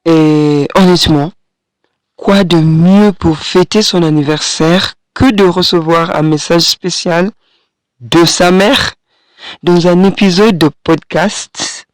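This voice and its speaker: A woman in her thirties hosting a solo podcast from a small studio, hoarse from a cold, speaking gently and encouragingly with hesitant pauses. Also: lowish voice, no echo. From Kenya. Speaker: podcast host and French teacher. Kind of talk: solo podcast monologue addressing the listener. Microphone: studio microphone.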